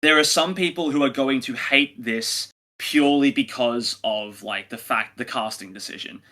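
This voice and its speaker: A man with a bright, forward voice at middling pitch. He talks casually as a podcast co-host, pushing his opinions with emphatic phrasing. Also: normal speed. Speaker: podcast co-host reviewing audio dramas.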